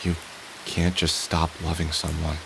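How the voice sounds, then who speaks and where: A man's voice: a bass voice, monotone, a little slow, gravelly, background noise, heavily acted. An actor playing a weary, wry middle-aged man haunted by lost love, musing aloud in a video game. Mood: pleading. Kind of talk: video game voice acting, inner monologue and dialogue.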